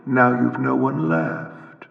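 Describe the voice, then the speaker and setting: A man voicing a mocking, silver-tongued video game villain, with a raspy, drawling, flamboyant delivery and a show of courtesy over cruelty. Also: medium pitch, fairly even intonation, slow, no room sound, muffled recording, heavily acted. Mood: gleeful taunting. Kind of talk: theatrical video game voice acting.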